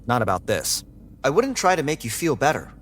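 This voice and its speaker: An actor playing a guarded, socially averse security android who tells its story in a dry, sarcastic, deadpan way. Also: male voice, medium pitch, brisk, slight background noise. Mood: flat, guarded. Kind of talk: first-person audiobook narration and dialogue.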